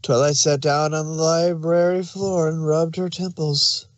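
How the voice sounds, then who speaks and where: A man's voice: on the high side, little pitch movement, a little slow. A man reading scripted story narration as the narrator.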